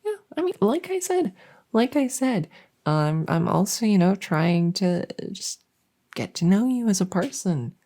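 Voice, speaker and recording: A woman's voice: mid-range voice, big pitch swings, measured pace, warm tone. A woman voicing a silly, awkward monster who lives under a bed, rambling and stammering earnestly in a comedic ASMR roleplay. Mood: defensive, awkward. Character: silly, awkward female monster living under a bed, bungling her job of scaring. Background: writing sounds.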